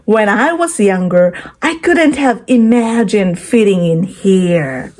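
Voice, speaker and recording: A woman reading a children's picture book aloud in a teacherly way, pausing to quiz and praise her young listeners. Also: medium pitch, animated, a little mellow.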